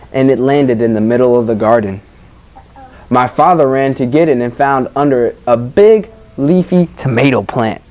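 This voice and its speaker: Teacher, medium-pitched voice, reading a picture book aloud with engaging, informal delivery. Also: a little mellow. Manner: engaging, informal, addresses listeners as guys. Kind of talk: expressive read-aloud with questions to young listeners.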